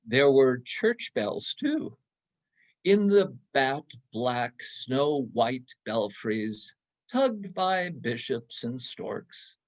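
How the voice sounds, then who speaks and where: A man reading a scripted literary story aloud in a measured, theatrical way with poetic phrasing. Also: mid-range voice, big pitch swings. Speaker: readers theatre reader.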